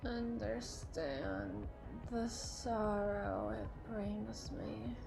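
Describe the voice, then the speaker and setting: A woman's voice: mid-range voice, animated, over music, exaggerated voice. A woman playing a tipsy, haughty, melodramatic female boss in a flirtatious ASMR roleplay, theatrical and given to playful wordplay. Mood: wistful, pleading. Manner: theatrical, drawn-out, playful wordplay, slipping into breathy whispers. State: acting tipsy.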